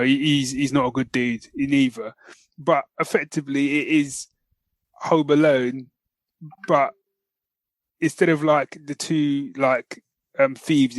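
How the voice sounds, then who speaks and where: A man co-hosting a podcast, telling stories in an animated, slangy, casual review style. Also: medium pitch, dry recording. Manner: animated storytelling with slangy asides. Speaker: podcast co-host. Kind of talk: casual chat, film review.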